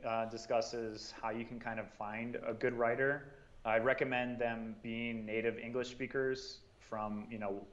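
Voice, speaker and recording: A man in a casual podcast discussion, handing out advice in a relaxed way with frequent "um"s and "you know"s. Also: lowish voice, highs cut off. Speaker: guest, marketing and sales coordinator.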